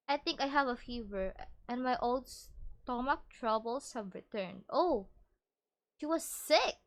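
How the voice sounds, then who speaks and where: Higher-voiced woman game streamer. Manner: expressive, stumbles over words when reading aloud. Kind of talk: casual playthrough commentary, reading game text aloud.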